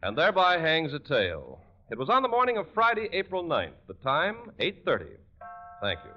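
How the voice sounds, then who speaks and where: An American male announcer delivers scripted copy in polished 1940s radio style. His fairly high voice rises and falls emphatically like a salesman's, on a dull, band-limited vintage recording. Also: huge pitch swings, fairly quick, faint music behind.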